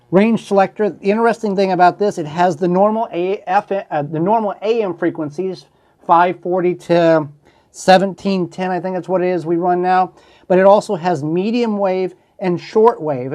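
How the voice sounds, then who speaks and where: A man's voice: high-pitched, darkish tone. A man hosting a casual show-and-tell, explaining things in a folksy, plainspoken way. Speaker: host showing a collectible.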